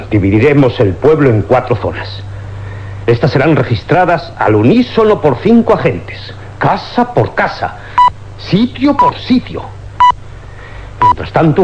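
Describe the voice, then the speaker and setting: An actor playing a stern, impatient police commissioner with a brusque, commanding manner in a radio drama. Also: male voice, medium pitch, close to an everyday voice. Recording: dull radio recording, clear background noise.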